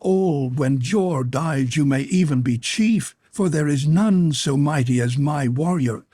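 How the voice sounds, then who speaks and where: A man's voice: on the high side, measured pace, dark tone, no room sound, studio quality, pitched above the performer's own voice. A man voicing an ardent, tender young native woman in an audiobook, speaking in an exclamatory, pleading way. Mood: eager, hopeful. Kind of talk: audiobook character voice.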